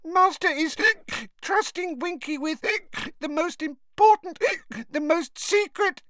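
Tipsy she-elf servant, very high squeaky voice, hiccups mid-word, storybook. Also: takes it slowly, sharp tone, no echo, clean studio sound, exaggerated voice. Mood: indignant. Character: drunk weepy female house elf servant.

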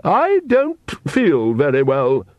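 A man's voice: extremely high pitch, big pitch swings, moderate pace, no room sound, lo-fi sound, pitched above the performer's own voice. A man voicing a haughty, scolding vampire Countess and mother in an audiobook. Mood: queasy.

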